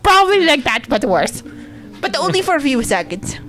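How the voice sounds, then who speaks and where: A woman who is a player in a tabletop roleplaying game, improvising casually in character with halting, simple, repeated phrases. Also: sits high, very animated, a bit bright. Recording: music behind the voice.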